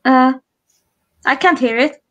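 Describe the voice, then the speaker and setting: A woman's voice: high-pitched, slow pace, muffled recording. A woman with a Hungarian accent, a live stream co-host chatting casually while doing makeup, rambling with frequent restarts.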